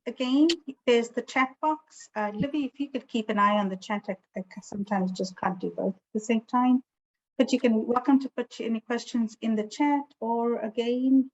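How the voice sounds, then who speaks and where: A woman's voice: fairly high pitch, darkish tone. A woman with a South African accent giving an informal, explanatory online seminar talk in a conversational way. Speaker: presenter, estate planning attorney. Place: online video call with virtual background. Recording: dull lo-fi video call sound.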